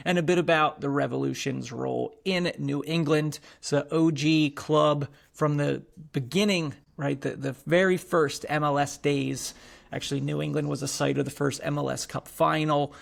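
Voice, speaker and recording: A man hosting a podcast with a heavily gravelly, creaky voice that sits a little high. He asks his questions with eager, playful enthusiasm. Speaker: podcast host.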